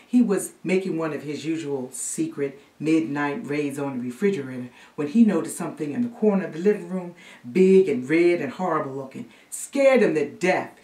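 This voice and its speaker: A woman playing a storyteller who recounts a spooky childhood family tale with hushed, dramatic suspense. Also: sits low, normal speed, lightly acted. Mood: suspenseful. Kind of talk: theatrical storytelling monologue. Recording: roomy echo, distant microphone.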